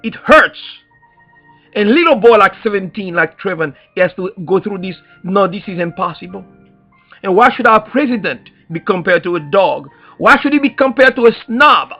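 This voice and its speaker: A man's voice: high-pitched, expressive, moderate pace, bright, forceful tone. A man with a Haitian accent speaking as an activist in an impassioned, preacher-like address, emphatic and repetitive, leaning on rhetorical questions. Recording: dull lo-fi sound, faint music behind.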